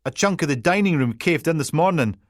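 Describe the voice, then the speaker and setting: A man voicing a brusque young female police constable with a Peterhead Scottish accent, loud and flustered, stumbling over titles. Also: low voice, animated, brisk, no room sound, studio quality, voice pushed up.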